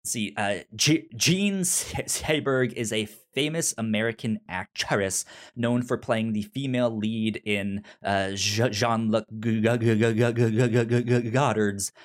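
A man with a pronounced stutter, casually pitching films as a podcast host in a halting, informal way. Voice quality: pronounced stutter with repeated initial sounds. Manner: halting, informal, hesitant phrasing.

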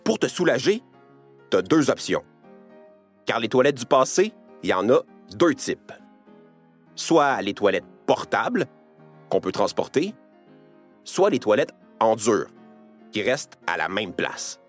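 Guy narrating for kids, super animated and playful, light music behind. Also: medium pitch, measured pace, long pauses. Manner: playful, addresses the listener directly. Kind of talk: scripted educational narration for children.

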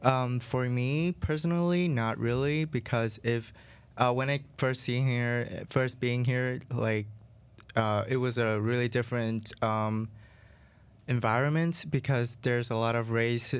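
Smooth-voiced young Vietnamese-accented man. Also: medium pitch, fairly even intonation, no room sound. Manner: halting, searching for words. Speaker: international student guest. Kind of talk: casual interview answers.

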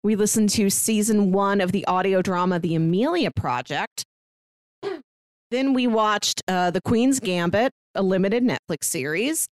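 A woman's voice: medium pitch, a little slow, no room sound. Woman podcast co-host, dry close sound. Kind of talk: casual chat, recapping a year of reviews.

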